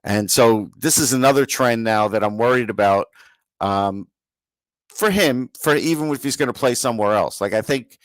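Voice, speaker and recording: A man's voice: medium pitch, moderate pace, no echo, low-quality recording. A man with a middle-range voice talks casually as a sports podcast co-host. He puts his opinions bluntly and plainly. The sound is dry, with hardly any room in it. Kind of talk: casual hockey discussion.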